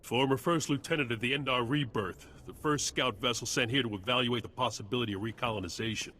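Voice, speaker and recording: A man's voice: medium pitch, quick pace, bright, forceful tone, slight background noise, lightly acted. An actor playing a hearty, earnest military officer in a video game, folksy and loyal to his soldiers.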